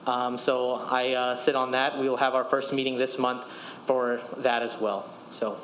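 A man's voice: mid-range voice, level tone, normal speed, a bit bright, rough recording. Guy in his 30s reporting to city council, podium mic, bit of room hiss. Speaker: city department official. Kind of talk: public presentation at council meeting. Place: large council chamber, standing at podium. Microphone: podium microphone.

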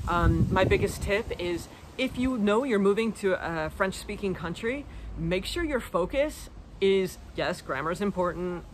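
American woman chatting casually over outdoor noise. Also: on the low side. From United States. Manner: informal, self-deprecating, conversational. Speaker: vlogger. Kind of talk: casual chat answering viewer questions. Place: outdoors in a leafy garden. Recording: clear outdoor background noise, garden ambience.

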